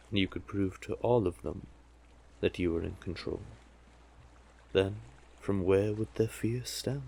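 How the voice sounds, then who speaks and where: A man voicing a cunning, monstrous sea witch who coaxes silkily in slow, theatrical endearments, in an intimate audio roleplay with a gravelly, husky voice. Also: deep voice, full character voice. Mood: persuasive, coaxing.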